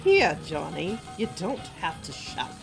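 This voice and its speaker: A woman's voice: lowish voice, huge pitch swings, a little slow, creaky, exaggerated voice. A woman playing a prim, precise, unruffled detective with formal, wordy speech, in a comic audio drama. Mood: brisk. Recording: music underneath.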